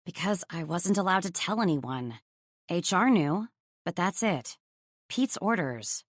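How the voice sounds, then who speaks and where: A woman's voice: on the low side, lively intonation, unhurried, no room sound, clean studio sound. A woman voicing an earnest, guarded young heroine in audiobook dialogue, sincere and a little unsure. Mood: matter-of-fact.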